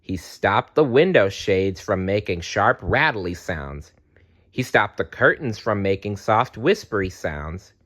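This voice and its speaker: A man narrating a children's story aloud in an expressive storytelling style. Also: on the low side, a little slow.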